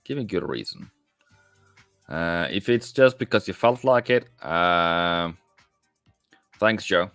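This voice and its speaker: A low-voiced man with a gravelly edge hosts a live trading stream. He talks in a lively, up-and-down way and chats casually with viewers, calling them mate. Faint music plays behind him. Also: takes it slowly, pauses often, no room sound. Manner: casual, chatty, answers viewers directly, calls them mate. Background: faint background music.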